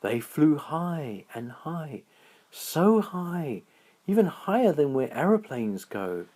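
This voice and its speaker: A man narrating a children's bedtime story in a dramatic, drawn-out storytelling manner. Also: a little high, very animated, mellow.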